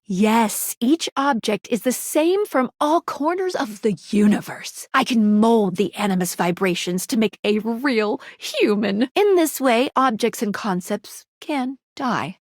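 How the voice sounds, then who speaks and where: A woman's voice: on the high side, dry recording, studio quality. An actress voicing a quirky, flirty, talkative female pair of magical glasses in a video game, playfully explaining things. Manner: chatty, playful, eagerly explaining. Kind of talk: video game character dialogue.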